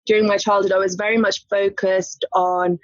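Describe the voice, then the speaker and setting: A chatty woman in her thirties, speaking as an interview guest with easy laughs and lots of fillers. Her voice sits at a middle pitch. The recording is dull and muffled, with no room sound at all. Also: little pitch movement, moderate pace, no echo. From England. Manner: chatty, with light laughs and frequent "you know" fillers. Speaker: lawyer and podcast guest. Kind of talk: casual interview conversation. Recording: muffled, dry podcast audio.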